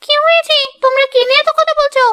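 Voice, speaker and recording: A woman voicing a sharp-tongued, nagging young village wife in a cartoon. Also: very high-pitched, fairly even intonation, fast talker, no room sound, clean studio sound. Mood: curious, alarmed. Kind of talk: cartoon voice acting.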